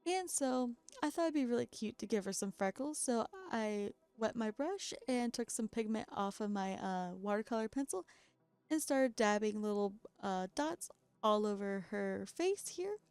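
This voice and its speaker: Tired woman with a gravelly, cracking voice. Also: fairly high pitch, lively intonation, normal speed, darkish tone, dry recording. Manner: chatty, self-deprecating, laughs often. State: very tired after staying up all night. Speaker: doll customizing creator. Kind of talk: casual craft voice-over narration.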